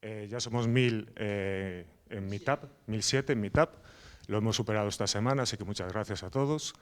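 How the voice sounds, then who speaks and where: A man organizing a meetup, making announcements and introducing the speaker, nervous and halting with many fillers. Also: lowish voice.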